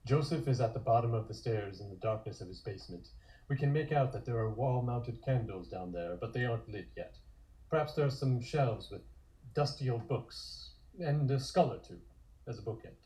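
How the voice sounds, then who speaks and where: Male narrator for a comic script read, dark, relaxed tone, mid pitch. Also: normal speed, rough recording. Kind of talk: scripted scene narration, present tense.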